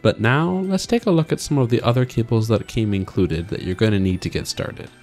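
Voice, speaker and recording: A man's voice: fairly low pitch, a little fast, a little mellow. A man doing an upbeat, scripted voice-over for a product review as a tech vlogger. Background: background music.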